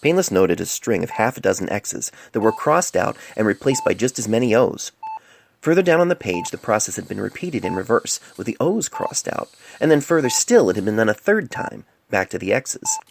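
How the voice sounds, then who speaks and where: A woman's voice: a bass voice, sing-song, normal speed, creaky, slight background noise. A woman narrating a scripted fan fiction story as an amateur reader, recorded on a cell phone microphone.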